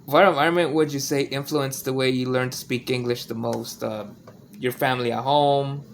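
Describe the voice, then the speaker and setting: A man's voice: mid-range voice, fairly even intonation, normal speed. A young American man from California, conducting a casual interview and reading out prepared questions. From California, United States. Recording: clear background noise, amateur audio log.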